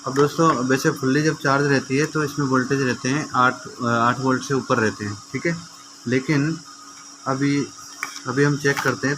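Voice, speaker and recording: Guy doing a diy repair tutorial, chatty step by step, normal pitch, pretty noisy audio w chirpy background. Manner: conversational, often checks with "okay" and addresses viewers as friends. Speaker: repair tutor presenting a how-to video. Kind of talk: informal hands-on demonstration, explaining step by step.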